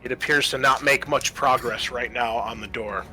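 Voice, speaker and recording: Man with a bright voice game-mastering. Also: mid-range voice, fairly even intonation, normal speed, faint music behind. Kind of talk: running a tabletop roleplaying game.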